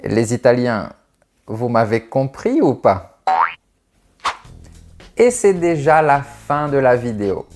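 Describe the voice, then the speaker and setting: A man in his thirties from France, a French teacher answering viewers' questions in a clear, carefully articulated, friendly way. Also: mid-range voice, expressive, slow, pauses often, a little mellow, faint music behind. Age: 30s. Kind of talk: slow, clear video Q&A for learners.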